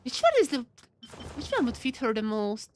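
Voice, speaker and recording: A woman giving casual, chatty live commentary on a video game, excitable and often repeating her phrases. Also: on the high side, moderate pace, slight background noise. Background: game music.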